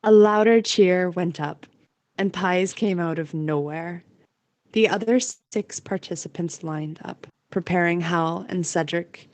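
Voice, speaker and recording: A woman's voice: lowish voice, unhurried, a little mellow, dry recording. A woman narrating a scripted story as the narrator of an audio play.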